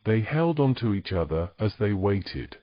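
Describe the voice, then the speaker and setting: A man's voice: fairly low pitch, sing-song, unhurried, no room sound, muffled recording. A man narrating a scripted fantasy story in a dramatic storytelling style, close on the microphone.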